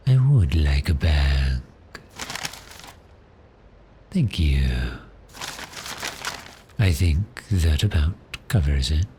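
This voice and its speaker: A man playing a polite, cheerful but menacing vampire in an ASMR roleplay, speaking courteously and deliberately. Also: very deep voice, a little mellow, slight background noise. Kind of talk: slow ASMR roleplay acting.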